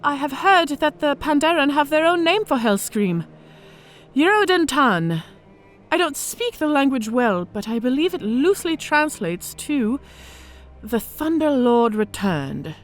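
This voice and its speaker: A battle-scarred orc woman with a high, lively voice that rises and dips as she spins war tales. She speaks with theatrical, measured weight in a fantasy audio drama, over faint music. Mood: grave storytelling. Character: battle-scarred female orc veteran officer, worldly storyteller. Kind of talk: audio drama character acting.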